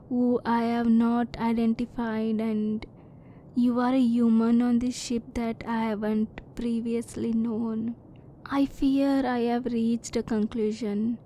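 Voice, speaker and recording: A woman playing a curious, reserved alien scientist in an ASMR roleplay, speaking formally and precisely with measured restraint. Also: on the high side, level tone, dark tone, a little hiss or hum. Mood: grave, apologetic.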